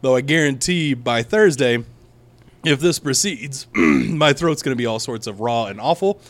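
A man's voice: mid-range voice, animated, normal speed. A man hosting a casual podcast chat with a sore, scratchy throat, talking in a rambling way full of ums and restarts. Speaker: podcast host.

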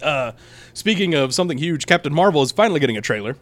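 A man's voice: on the high side, expressive, quick pace, a bit bright. Man hosting with a sore throat. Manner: rambling, restarting, frequent ums. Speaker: podcast host. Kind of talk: casual chat.

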